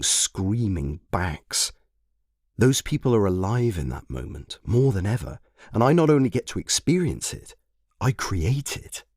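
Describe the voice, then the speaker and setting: Storybook monologue: man playing a veteran rollercoaster, proud and wistful. Lowish, very varied intonation, measured theatrical delivery. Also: husky, no room sound, studio quality, exaggerated voice. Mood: proud, relishing. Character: proud, nostalgic old rollercoaster, wistful veteran of a theme park. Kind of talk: dramatic first-person storybook monologue.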